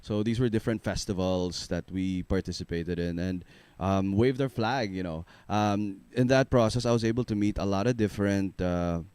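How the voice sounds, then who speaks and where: A young man from the Philippines with a low baritone voice, chatting in a relaxed, rambling way as a singer-songwriter guest in a radio studio. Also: normal speed, clean tone, dry recording. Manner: relaxed, rambling, filler-heavy, laughs easily. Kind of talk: casual radio interview chat.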